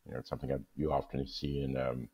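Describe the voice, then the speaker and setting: A man's voice: rumbling low, level tone, fast talker. A man speaking as a guest reader, in casual chat and storybook reading, on a dull recording. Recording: dull, muffled lo-fi audio.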